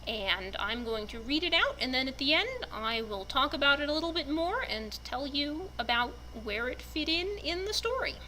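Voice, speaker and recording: A woman giving a deliberate, storybook-like dramatic reading of a written fantasy text. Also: sits high, big pitch swings, bright, forceful tone, a little hiss or hum, rough recording. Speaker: reader presenting a fantasy book.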